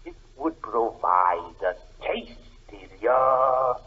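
An actor in a 1940s radio drama playing a suave, menacing criminal mastermind, husky-voiced and sardonically polite. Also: male voice, mid-range voice, lively intonation, heavily acted. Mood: gloating menace. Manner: measured, sardonic, mock-polite. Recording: dull lo-fi old radio recording.

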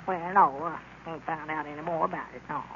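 An actor playing a stubborn old country constable with a rural Southern accent in old-time radio comedy. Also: male voice, on the high side, sing-song, brisk, creaky, bright, forceful tone, heavily acted. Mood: grumbling, evasive. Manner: folksy rustic speech. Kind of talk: 1930s-40s radio comedy acting. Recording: tinny, noisy old radio recording.

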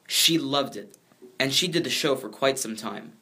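An American man shares the narration of a biography. He reads prepared lines in a voice of medium pitch, acting as co-presenter. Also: expressive, moderate pace, pushed and bright. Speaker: co-presenter, grandson of the subject.

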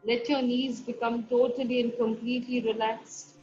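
A woman guiding a meditation, giving soft, slow instructions broken by long pauses. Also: fairly high pitch, flat delivery. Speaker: meditation guide. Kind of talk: guided meditation. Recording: dull lo-fi recording with faint music. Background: soft background music.

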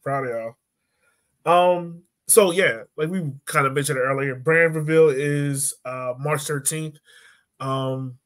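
A man's voice: on the high side, takes it slowly, a bit bright. A young man chatting casually and jokingly on a sports podcast, with informal slangy phrasing, from home on a video call. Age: young adult. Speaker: podcast host.

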